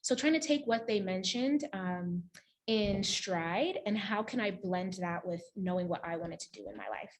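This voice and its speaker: A young woman from Uganda, speaking on a panel in a lively way and laughing often. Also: mid-range voice, moderate pace, a little hiss or hum. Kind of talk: casual panel talk.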